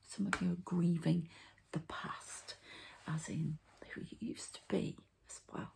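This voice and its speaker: A woman doing a whispered ASMR card reading as an energy healer, in a hushed, breathy, creaky voice, unhurried and murmuring to the listener. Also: sits low, steady tone.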